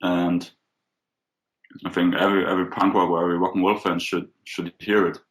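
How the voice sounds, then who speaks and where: A man from a cover band answering interview questions in a plain, unhurried way. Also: sits low, little pitch movement. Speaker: member of a cover band, guest.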